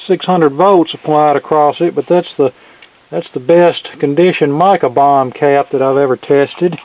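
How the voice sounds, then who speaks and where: Tinny, phone-like recording of a folksy man. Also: a little high. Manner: plainspoken, folksy turns of phrase. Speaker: hobbyist electronics repairman presenting a video. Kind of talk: hands-on repair demonstration, casual explanation. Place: workshop. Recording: phone-like narrow, tinny sound, close and dry.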